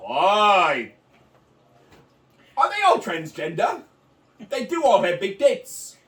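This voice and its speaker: A man's voice: sits high, very animated, slow, a bit bright, echoey room, recorded from a distance, exaggerated voice. A man doing a rowdy British bloke. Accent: put-on British. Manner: rowdy, crude, mocking. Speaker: podcast co-host. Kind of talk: improvised comedy bit.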